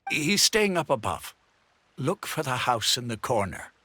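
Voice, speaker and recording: An easygoing village leader in an anime game, kindly and helpful. The voice is bright, mid-pitched and very animated, with unhurried delivery. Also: no echo, full character voice. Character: helpful, easygoing village head chatting with visitors. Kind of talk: English dubbed anime game acting.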